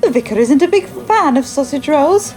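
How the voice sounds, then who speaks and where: Village cake champion, female actor: English, high-voiced, lively intonation, prim and catty. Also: moderate pace, over music. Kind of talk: comic radio drama acting.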